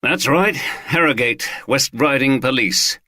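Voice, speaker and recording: A man's voice: medium pitch, unhurried, bright, forceful tone, no echo, clean studio sound, pitched above the performer's own voice. A man voicing a tired young police sergeant who talks plainly and casually. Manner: plain, casual, a bit worn out.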